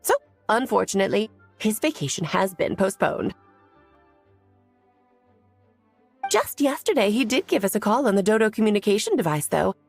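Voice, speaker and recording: Whimsical, genteel sorceress for anime game: breezy, teasing, animated melody in the voice. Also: female voice, mid-range voice, a little fast, vocal fry, breathy edge, soft background music. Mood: wry. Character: elegant, whimsical, self-assured adult sorceress and mother.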